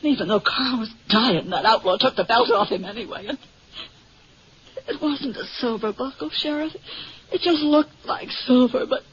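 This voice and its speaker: An actress playing a middle-aged American frontier wife in grief, speaking in a halting, plaintive, folksy way in a 1950s radio western. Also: female voice, high-pitched, lively intonation, a crowd in the background. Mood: distraught, tearful. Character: grieving middle-aged frontier wife, newly widowed, distraught. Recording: muffled, noisy 1950s radio recording.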